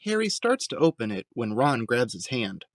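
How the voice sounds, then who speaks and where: A man's voice: medium pitch, big pitch swings, moderate pace, no room sound, clean studio sound. A man narrating a scripted audio drama, describing the scenes as a storyteller.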